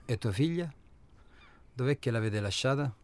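A man's voice: mid-range voice, lively intonation, moderate pace, close to an everyday voice. An actor playing a blunt, guarded male stranger in a radio drama, speaking in terse questions and short replies. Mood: probing. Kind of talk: naturalistic radio drama acting.

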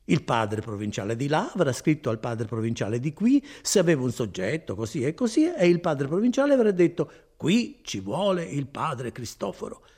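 A man voicing a placid, folksy friar in an audiobook, chattering on with unhurried reassurance. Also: on the high side, very animated, a little mellow. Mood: complacent, chatty. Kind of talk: dramatised audiobook reading.

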